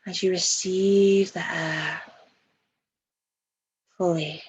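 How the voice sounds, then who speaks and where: A woman leading a guided meditation in a soft, breathy voice, soothing and hushed, with long pauses between phrases. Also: on the low side, fairly even intonation, lo-fi sound.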